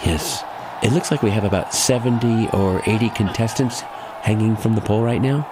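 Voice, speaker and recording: A man's voice: fairly low pitch, moderate pace, noisy background, close to an everyday voice. A man playing a sports commentator in a parody radio broadcast. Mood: matter-of-fact. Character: male sports commentator at absurd Olympic event.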